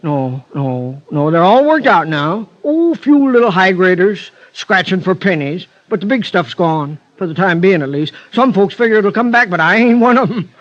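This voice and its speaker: An actor playing a garrulous backcountry American old-timer, with a rural accent and a rambling, folksy manner in an old radio drama. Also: male voice, high voice, expressive, moderate pace, hardly any pauses. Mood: chatty, informative. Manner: rambling, hesitant, folksy and chatty. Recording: muffled vintage radio recording.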